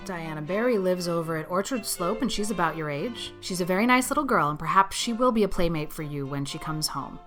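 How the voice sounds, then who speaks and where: Actress as a low-voiced, stern, no-nonsense guardian. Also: female voice, animated, brisk, few pauses, music in the background, lightly acted. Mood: matter-of-fact. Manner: curt, reproving, matter-of-fact. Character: stern, no-nonsense guardian woman, strict and brisk. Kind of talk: audiobook character acting.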